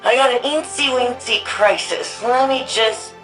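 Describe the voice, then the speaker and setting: A woman's voice: on the high side, unhurried, fairly bright tone, soft background music, poor audio. An actress playing a quirky, eager young woman archivist in a video game, chatty and informal with a wry, geeky manner. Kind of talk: video game character dialogue.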